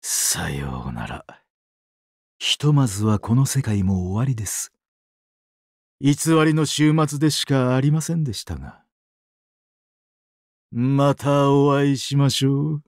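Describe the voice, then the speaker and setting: An actor playing a sadistic, weeping angel of doomsday in a mobile game, exaggeratedly polite and dramatic, swinging between sorrow and glee. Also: male voice, mid-range voice, animated, a little slow, long pauses, a little mellow, dry recording, studio quality. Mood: solemn, courteous. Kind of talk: Japanese mobile game character acting.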